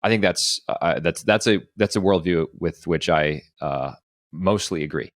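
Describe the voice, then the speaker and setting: A man with a low, deep voice co-hosts a discussion podcast. He talks in an articulate, analytical way, and the sound is dry with very little room. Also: unhurried, no room sound. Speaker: podcast co-host.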